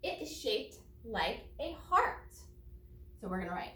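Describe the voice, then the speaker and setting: A woman teacher reading aloud to children and explaining each step in a deliberate, instructive way. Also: a little high, big pitch swings, fairly bright tone. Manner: deliberate, explanatory, spelling out steps for young pupils. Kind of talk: children's read-aloud lesson with think-aloud commentary. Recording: roomy echo, distant from microphone.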